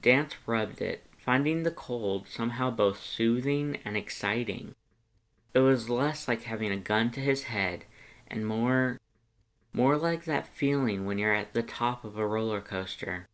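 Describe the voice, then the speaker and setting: A man reads fiction aloud as a storyteller. His pitch sits in a middle range for a male voice, and his delivery is that of expressive audiobook narration. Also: unhurried. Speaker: fan fiction reader.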